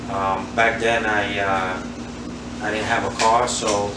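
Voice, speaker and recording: New man, flat and roomy. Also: on the low side, takes it slowly, far from the mic. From Queens, New York. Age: 40s. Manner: relaxed, rambling, many fillers. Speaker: interview guest, handball player. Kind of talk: casual interview, reminiscing. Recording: roomy, off-mic, noisy.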